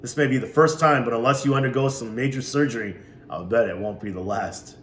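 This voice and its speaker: A man's voice: mid-range voice, a little fast, natural voice. A man voicing a jovial older grandfather who drives and teases in a wry, folksy way. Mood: teasing. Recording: roomy echo, faint hiss.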